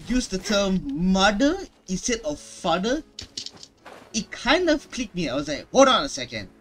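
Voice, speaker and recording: A man streaming live reaction commentary on a game, dramatic and repeating phrases for emphasis. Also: sits high. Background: faint game music.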